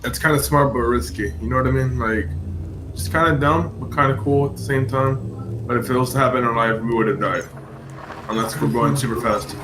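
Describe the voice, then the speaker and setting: A man's voice: medium pitch, a little fast, noisy background. A man on voice chat with a friend, talking loosely with lots of swearing and filler words through an earphone mic. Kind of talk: casual chat. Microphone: earphone mic over voice chat.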